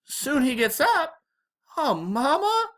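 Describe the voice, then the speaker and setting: A woman's voice: medium pitch, wildly swooping pitch, slow, a little mellow, slightly rough, pitched above the performer's own voice, exaggerated voice. A woman voicing an upset, incredulous mother with a Southern American accent in a storybook. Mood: dismayed. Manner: incredulous, dismayed. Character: worried, upset grown daughter, a Southern mother.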